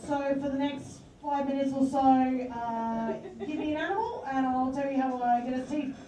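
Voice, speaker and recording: A woman's voice: high-pitched, moderate pace, heavy background noise, lightly acted. A woman playing a cheerful female tooth fairy for animals, improvising in a rambling way full of fillers at a live comedy show. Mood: chatty. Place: live venue with audience. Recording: echoey room, far mic, loud crowd.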